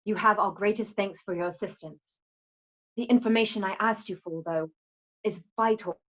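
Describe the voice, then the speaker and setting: Brief: woman to play a stately, commanding leader in a Western audio play, formal phrasing, mid pitch, slightly dull recording. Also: lightly acted. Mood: gracious, firm. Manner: formal, deliberate. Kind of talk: Western radio drama acting.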